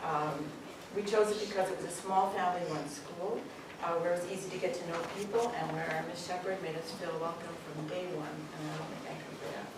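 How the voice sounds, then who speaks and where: A middle-aged woman with a Canadian accent addresses a hall full of schoolchildren, joking lightly and pausing with "um". Her fairly low voice comes from far off in a big, echoey room. Steady room noise sits under her words. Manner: warm, joking, with frequent ums. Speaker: parent giving a speech. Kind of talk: graduation speech. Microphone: distant camera.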